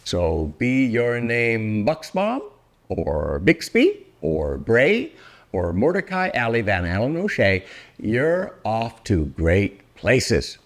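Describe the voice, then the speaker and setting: An older man reading a rhyming children's book aloud in a theatrical, deliberate way, drawing out words for effect. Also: fairly low pitch, wildly swooping pitch. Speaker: storybook reader.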